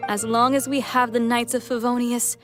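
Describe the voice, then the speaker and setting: A woman's voice: fairly high pitch, little pitch movement, normal speed, faint music behind, lightly acted. A woman playing an earnest, dignified townswoman in a fantasy game, composed and formal in her speech. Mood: earnest, grateful.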